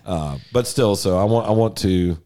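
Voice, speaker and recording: A man with a deep voice and a Southern twang, co-hosting a podcast in a rambling, profane, laughing way. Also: moderate pace, warm tone. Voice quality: deep drawl. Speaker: podcast co-host. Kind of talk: casual chat.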